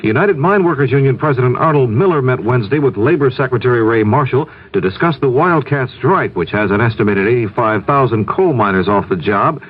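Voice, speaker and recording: A man's voice: medium pitch, expressive, brisk, hardly any pauses. Male 1970s radio newsreader, narrow-band sound. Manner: crisp, matter-of-fact delivery. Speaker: radio news anchor. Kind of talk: scripted 1970s network news reading.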